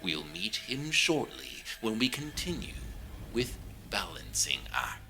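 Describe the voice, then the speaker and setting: A man delivers scripted, theatrical narration for a drama anthology. He speaks slowly in a fairly low voice with lively swings in pitch, over clearly audible music. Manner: deliberate, theatrical.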